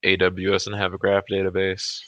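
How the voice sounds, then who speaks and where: A man's voice: sits low, completely flat delivery, fairly quick, pushed and bright, dry recording. A man chatting casually as a guest on a show, blunt and swearing freely. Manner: blunt, heavily profane. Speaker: guest on a livestream talk show. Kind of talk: casual chat.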